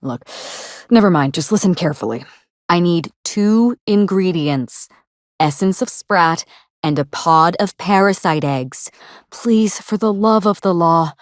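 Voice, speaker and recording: A woman's voice: on the low side, dry recording. An actress playing a sardonic, grieving woman out to avenge her sister in a video game, speaking articulately with dry, controlled sarcasm. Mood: exasperated, instructing. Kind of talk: video game dialogue acting.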